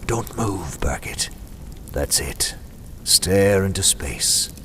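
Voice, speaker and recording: A slow, menacing masked avenger. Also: male voice, sits low, animated, fairly bright tone, big-room sound, slight background noise, exaggerated voice. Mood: menacing, commanding. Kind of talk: 1940s radio drama acting.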